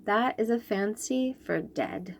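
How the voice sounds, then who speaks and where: A young woman reading a children's picture book aloud, slowly and clearly explaining words. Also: medium pitch. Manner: slow, clear and expressive, explaining fancy words.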